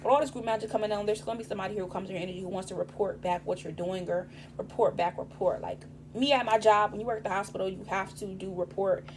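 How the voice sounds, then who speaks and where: A woman reads tarot cards in a loose, rambling way, swearing often and leaning on street slang. Her voice is raspy this morning and she talks quickly. A steady hum and background noise sit under the recording. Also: mid-range voice. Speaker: tarot reader. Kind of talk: casual tarot reading.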